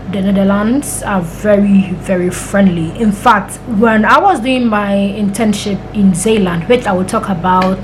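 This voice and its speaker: A woman's voice: medium pitch, a little hiss or hum, low-quality recording. A young woman from Ghana, chatting and rambling through stories to her camera. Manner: chatty, rambling storytelling with acted-out quotes. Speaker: vlogger. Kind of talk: casual chat to camera.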